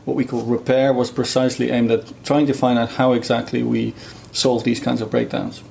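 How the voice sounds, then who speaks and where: A man's voice: medium pitch, flat delivery, a little fast, vocal fry, darkish tone, slight background noise. A man whose first language is Dutch, explaining research in a thoughtful conversational way, with many fillers and restarts. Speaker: guest researcher.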